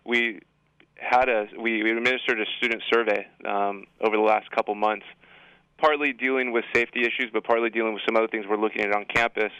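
Bright, clear man on a dull line. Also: fairly low pitch, fairly even intonation, fairly quick, dry recording. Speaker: high school assistant principal. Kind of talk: radio interview by phone. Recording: slightly dull, band-limited line.

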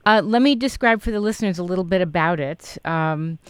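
A show host interviewing a guest, asking questions and offering steady encouragement. The voice is smooth and clean. It is recorded close and dry. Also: mid-range voice, fairly quick, no echo. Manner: encouraging, reads promo copy. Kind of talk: interview chat.

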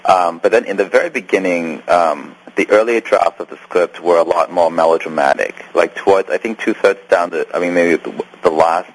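A man's voice: low-pitched, moderate pace, hardly any pauses, poor audio. A man who grew up in Hong Kong, with a Hong Kong accent, answering interview questions about his film in a rambling way with many restarts. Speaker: filmmaker guest.

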